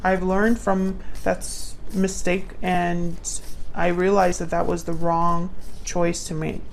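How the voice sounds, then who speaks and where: A woman's voice: lowish voice, level tone, takes it slowly, creaky, a little hiss or hum, rough recording. A woman doing a casual, intuitive tarot reading, thinking aloud as she talks directly to the listener. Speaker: tarot reader, channel host.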